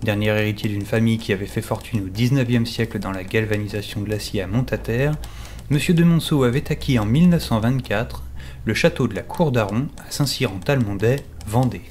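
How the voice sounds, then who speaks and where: Actor as a timid clerk over faint ambience. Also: male voice, lowish voice, a little fast, a little mellow, a little hiss or hum, lightly acted. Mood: measured, wry narration. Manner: hesitant, stammering in dialogue. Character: timid, eager male notary's clerk narrating his own story. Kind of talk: French audio drama with first-person narration.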